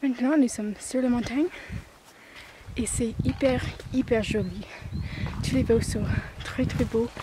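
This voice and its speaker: A woman's voice: a little high, steady tone, unhurried, long pauses, roomy sound, background noise. Hesitant Canadian girl speaking French. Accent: English Canadian learner of French. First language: English. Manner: hesitant, searching for words, many restarts. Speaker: vlogger. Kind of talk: casual vlog, speaking unscripted.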